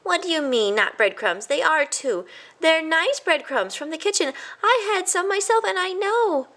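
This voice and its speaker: A woman's voice: extremely high pitch, lively intonation, moderate pace, fairly bright tone, voice pushed up, heavily acted. A woman voicing a kind, trusting boy mouse in a children's storybook, eager, naive and full of questions. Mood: indignant insistence.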